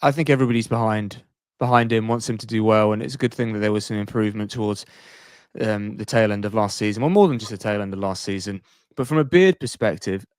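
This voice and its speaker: A man's voice: on the low side, quick pace, a little mellow, clean tone, no room sound. Rambling man on a sports podcast, dry sound. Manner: rambling, thinks aloud and backtracks. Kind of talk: casual sports chat.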